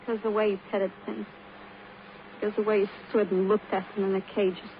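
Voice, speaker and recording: A woman's voice: medium pitch, level tone, normal speed, lightly acted. An actress playing a frail, defiant teenage working girl with an American accent, rambling dreamily and flippantly in 1950s radio crime drama style. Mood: bitter, intense. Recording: muffled old radio recording, faint noise.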